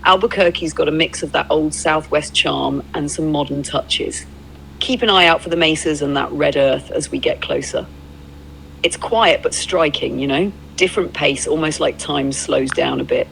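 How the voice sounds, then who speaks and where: A woman's voice: low-pitched, moderate pace, gravelly, fairly bright tone, a little hiss or hum, rough recording. A synthetic woman's voice playing an easygoing, upbeat travel companion, conversational, reflective and encouraging. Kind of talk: AI voice companion in casual roleplay chat. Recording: music behind the voice. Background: music.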